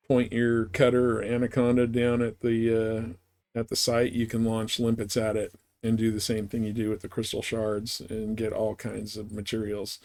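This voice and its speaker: A man's voice: fairly low pitch, darkish tone. A man chatting casually and informally on a live game stream, rambling through his explanations.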